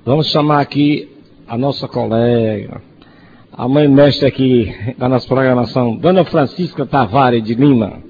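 A man's voice: medium pitch, normal speed. A 66-year-old Brazilian man presenting a community radio talk show. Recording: dull lo-fi audio, faint noise.

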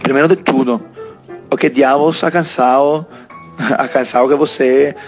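A man's voice: medium pitch, steady tone, normal speed, soft background music. A man in his twenties from Italy, an Italian speaker talking in Portuguese with an Italian accent, sending a listener message with hesitant phrasing and frequent fillers. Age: 20s.

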